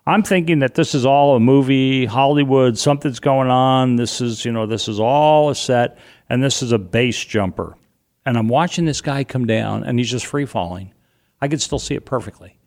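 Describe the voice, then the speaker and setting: A middle-aged man with a loud voice, telling a personal story as an interview guest, animated and vivid, acting out what people said. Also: mid-range voice, moderate pace. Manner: vivid, animated retelling with acted-out quotes.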